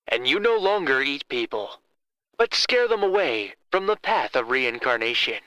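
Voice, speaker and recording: Man playing a bright, swooping, menacing villain. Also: medium pitch, no echo, heavily acted, poor audio. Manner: deliberate, mock-polite, storytelling. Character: vengeful, theatrically menacing male villain back from the underworld. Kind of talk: horror audio drama monologue.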